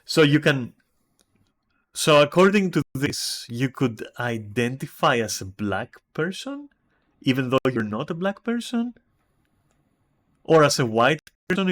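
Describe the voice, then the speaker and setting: A man's voice: on the high side, very animated, unhurried. A man from Greece with a Greek accent, streaming and reacting to a text, thinking aloud in a puzzled way with many restarts.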